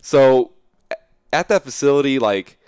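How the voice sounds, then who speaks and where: A young man talking casually as an interview guest, animated and loose, often checking in with "right?". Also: mid-range voice, normal speed, clear, resonant tone, no room sound, studio quality.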